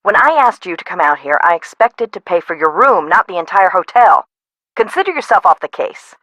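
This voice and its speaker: A woman's voice: on the low side, sing-song, brisk, bright, forceful tone, highs cut off, heavily acted. A woman playing a blunt, businesslike amusement park boss in a video game, with a matter-of-fact manner. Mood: stern, annoyed.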